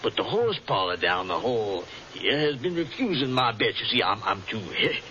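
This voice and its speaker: Casting: courtly old colonel and con man, hemming, very animated pitch, dull hissy radio recording. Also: normal speed. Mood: confiding. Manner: hemming, throat-clearing, courtly and confiding. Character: blustery, genteel older Southern colonel, a smooth swindler. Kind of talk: old-time radio drama acting.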